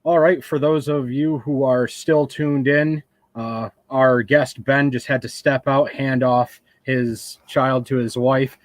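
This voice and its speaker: A man's voice: mid-range voice, fairly even intonation, takes it slowly, no room sound. A man in his twenties hosting a live online fishing interview from a home studio, effusive and complimentary toward his guest. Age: 20s. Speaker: host of a fishing channel.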